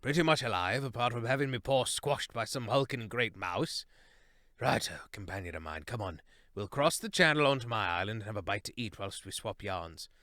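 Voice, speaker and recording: A man's voice: fairly low pitch, expressive, normal speed, bright, forceful tone, no echo, studio quality. A man voicing a jolly, plummy hare who blusters and says "what, what?". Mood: hearty. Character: jolly hare, plummy upper-class old soldier type.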